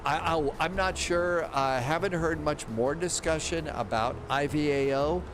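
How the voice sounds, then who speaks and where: A man's voice: fairly high pitch, measured pace, noisy background. A man hosting a live flight-sim stream, reading viewer comments and rambling in a casual, thinking-aloud way. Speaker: livestream host. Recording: clear background music.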